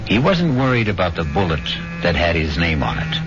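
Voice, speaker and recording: A man's voice: low-pitched, very animated, fairly quick. A man narrating dramatically as host of a 1970s radio mystery series. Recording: dull vintage radio broadcast, music behind.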